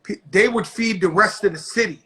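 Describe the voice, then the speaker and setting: A man's voice: sits high, a little fast, a bit bright. A man from the South Bronx, hosting a casual interview in blunt street slang. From South Bronx, New York. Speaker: host.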